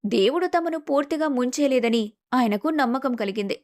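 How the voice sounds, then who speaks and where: Higher-pitched woman storyteller. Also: lively intonation, a little fast, a little mellow. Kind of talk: scripted story narration.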